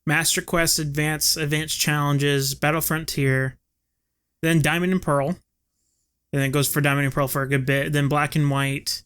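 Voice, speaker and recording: A man's voice: fairly high pitch, level tone, moderate pace, fairly bright tone, smooth. A young man in casual podcast chat with friends, slangy and prone to swearing. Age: young adult. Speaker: podcast co-host.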